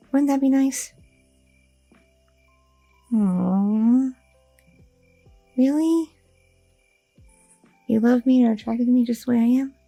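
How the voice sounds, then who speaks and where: A young woman playing a loving, chatty goth girlfriend in an ASMR roleplay, talking softly and intimately with lots of fillers, close to the mic. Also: fairly high pitch, gravelly, husky, dry recording, exaggerated voice, low-quality recording. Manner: soft, intimate, rambling with many fillers.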